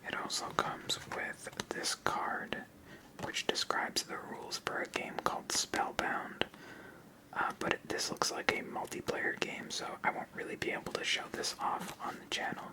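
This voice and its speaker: A person of unclear sex giving a whispered ASMR tutorial as host, explaining step by step in a soft, unhurried manner. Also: low-quality recording. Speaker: host of a card game channel.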